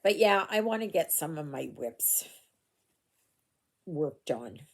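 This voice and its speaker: A woman's voice: low voice, lively intonation, takes it slowly. An older woman from Canada chatting casually while she stitches, rambling with asides and fillers, recorded at home on a phone. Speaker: cross-stitch vlogger. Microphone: phone camera.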